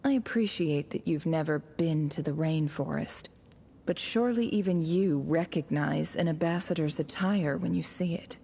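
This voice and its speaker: An actress playing a smug, scheming ambassador in a video game, speaking formally with condescending, silky menace. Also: female voice, low-pitched, normal speed, gravelly, mellow, husky, exaggerated voice. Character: smug, scheming woman posing as a haughty ambassador. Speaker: game voice actor. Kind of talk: dramatic video game voice acting. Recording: music under the voice. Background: game music.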